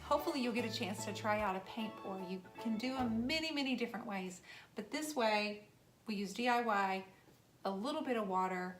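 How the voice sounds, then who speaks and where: A woman's voice: medium pitch, a little fast, faint music behind. A woman hosting an improvised painting demonstration, chatting casually and playfully with exclamations. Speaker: host of a painting puppet show.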